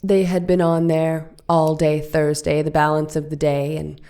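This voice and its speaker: A woman's voice: low voice, level tone, a little slow, mellow. A woman reading the part of an 1890s attorney cross-examining a witness with pressing, leading questions. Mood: probing. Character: probing 1890s defense attorney. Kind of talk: dramatic reading of a trial transcript.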